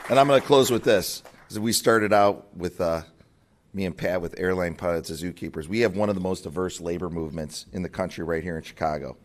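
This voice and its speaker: Guy fired up at a union breakfast, lowish voice, hits the big words hard. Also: fairly quick. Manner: rousing, pushes up his voice on key words. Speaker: labor leader speaking at a party breakfast. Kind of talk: rally speech.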